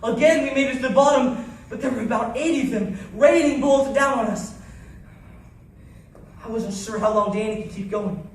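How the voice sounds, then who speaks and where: A man performs a haunted military survivor in a dramatic stage-style monologue. The voice is high for a man and intense, moving between hushed grief and urgency. It sounds distant in a heavily echoing space with faint noise. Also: moderate pace. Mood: urgent, anguished. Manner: theatrical, intense, swinging from hushed to shouting. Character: haunted Navy SEAL survivor recounting a deadly battle. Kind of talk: dramatic first-person monologue.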